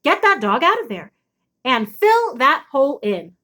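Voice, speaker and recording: A woman's voice: high voice, very animated, measured pace, bright, forceful tone, full character voice. A woman reading the part of an exasperated farm mother in a storybook, scolding and giving emphatic orders. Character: exasperated farm mother calling out to her child.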